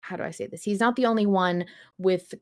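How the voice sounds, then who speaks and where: A woman's voice: medium pitch, a little fast, a little mellow. A woman hosting a fan podcast in casual discussion, talking informally with the odd swear word. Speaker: podcast host.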